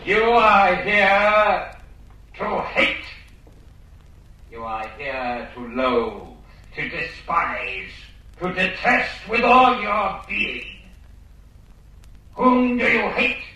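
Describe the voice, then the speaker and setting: An actor playing a cold, relentless state telescreen announcer in a radio drama, declaiming commands in a measured way. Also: male voice, sits high, sing-song, pushed and bright, exaggerated voice. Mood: hateful, relentless. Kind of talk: 1950s-style radio drama acting. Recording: echoing distant speaker, faint noise.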